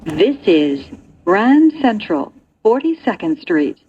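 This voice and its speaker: Slow, swooping female train announcer. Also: medium pitch, very animated, highs cut off, slight background noise. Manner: measured, clearly segmented station announcing. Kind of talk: scripted transit announcement.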